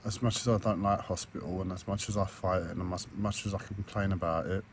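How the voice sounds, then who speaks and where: A hoarse man records a personal video diary on a tablet, his voice low and flat. He talks hesitantly, full of fillers and restarts. A faint background noise sits under the recording. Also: fairly quick. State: croaky, hoarse voice. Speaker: vlogger.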